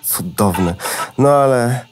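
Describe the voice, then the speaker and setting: Old floppy disk for kids' audio play, male actor: mid-pitched, melodramatic, politely old-fashioned, forgotten and wistful. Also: expressive, slow, background noise. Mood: nostalgic, dramatic. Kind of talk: children's audio drama acting.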